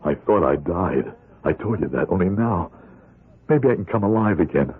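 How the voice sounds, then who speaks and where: An actor playing a bitter, desperate middle-aged ex-convict crook in an old radio drama, with a husky voice. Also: male voice, sits low, animated, moderate pace. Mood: urgent, haunted. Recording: muffled, narrow-band old radio recording.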